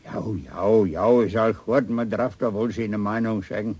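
An actor playing a stubborn, concerned older father in a radio drama, with a homely Low German accent. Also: steady tone, measured pace, darkish tone, breathy edge, no room sound. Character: concerned, stubborn old father of a grown daughter.

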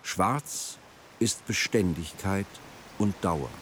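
A man reading literary narration for a radio play in a measured, formal way. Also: low voice, animated, a bit bright, slight background noise.

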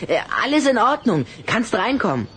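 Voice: medium pitch, very animated, normal speed, a bit bright, slightly rough. An actor playing a friendly little vampire boy in an audio drama, speaking in a matter-of-fact, coaxing way.

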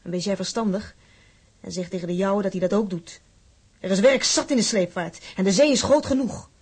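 An actress playing a feisty, proud working-class wife in a radio drama, fiercely loyal, blunt and sardonic. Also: female voice, mid-range voice, a little fast, a little mellow, breathy edge, close to an everyday voice. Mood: firm, earnest.

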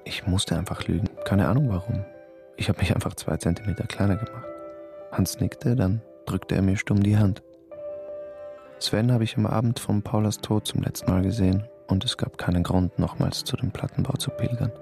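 A man tells a radio play in the first person. His voice sits low and gravelly, and he keeps it quiet and inward. Soft music runs faintly under the studio recording. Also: normal speed. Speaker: narrator and lead in a radio play.

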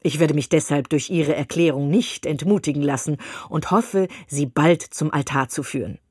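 A woman's voice: sits low, moderate pace, a little mellow, husky, no echo, clean studio sound. Mr Collins, a woman reading a pompous clergyman suitor. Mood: patronizing. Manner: pompous, long-winded, condescending. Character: pompous self-satisfied male clergyman suitor. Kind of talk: audiobook reading of a classic novel.

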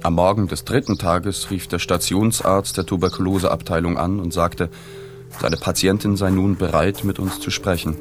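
An actor playing a sober, observant journalist in a literary radio drama, measured and reflective. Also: male voice, low-pitched, fairly even intonation, faint music behind, natural voice. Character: sober, observant male journalist recounting a reportage. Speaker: narrator and journalist.

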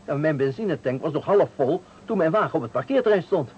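An actor playing an uneasy, irritable stranded motorist in a radio thriller, testy and at times hesitant. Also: male voice, on the high side, animated, fast, close to an everyday voice. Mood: irritated, defensive. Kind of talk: 1980 Dutch radio thriller acting. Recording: noisy 1980 radio play recording.

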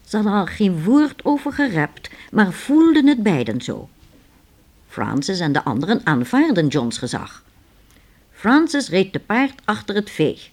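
A narrator telling a scripted story for a radio play in a measured storytelling manner. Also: medium pitch, wildly swooping pitch.

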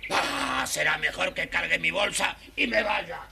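An actor voicing a grumpy, greedy, ungrateful little dwarf, whining and scolding in a children's audio storybook. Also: male voice, on the high side, measured pace, gravelly, pushed and bright, breathy edge, full character voice. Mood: indignant scolding. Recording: noisy old children's record.

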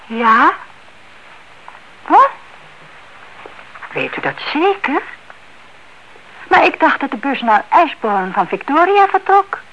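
An actress playing a curious, suspicious elderly aunt in a radio play, hesitant but persistent, on a noisy old recording. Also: female voice, sits high, big pitch swings, takes it slowly, pauses often, pushed and bright, highs cut off, full character voice. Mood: polite, puzzled. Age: older.

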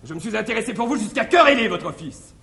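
An actor playing a sly, cheeky manservant in classical French stage comedy, exclamatory and mock-deferential. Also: male voice, high voice, animated, fast, creaky, pushed and bright, full character voice. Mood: slyly placating. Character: sly, cheeky young manservant schemer, theatrical trickster. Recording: echoey 1960s theatre stage recording.

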